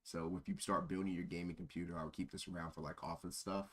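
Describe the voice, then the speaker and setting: A man's voice: deep voice, flat delivery, quick pace, a bit bright. A man streaming casual chat in a rambling, easily sidetracked way. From born in Germany. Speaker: live streamer.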